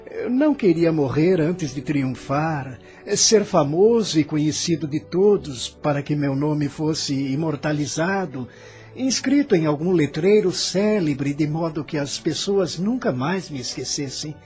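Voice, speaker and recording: Davi, a higher-voiced, hesitant Brazilian man, acted by a man. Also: animated, unhurried, darkish tone, soft background music. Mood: regretful, frustrated. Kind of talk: scripted audio drama dialogue.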